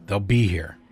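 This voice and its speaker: Low-voiced, guarded Tom. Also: male voice, fairly bright tone. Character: Tom, steady, loyal, guarded male friend of an agent. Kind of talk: naturalistic audio drama dialogue.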